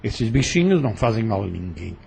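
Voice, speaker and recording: An actor with a Brazilian accent playing a sly, falsely deferential plantation overseer in an audio drama, measured and insinuating. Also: male voice, fairly low pitch, sing-song, gravelly, darkish tone, slightly rough, heavily acted. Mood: slyly menacing. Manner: measured, insinuating, mock-courteous.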